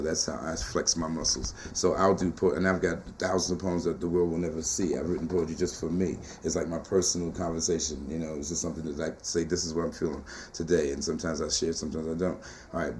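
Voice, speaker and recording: A man with a low, mellow tenor-baritone voice speaks with animated, rhythmic emphasis, often circling back on his phrases. The talk is loose and conversational, with a faint hiss of noise behind him. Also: fast, few pauses. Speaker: poet and teacher being interviewed. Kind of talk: casual conversational interview.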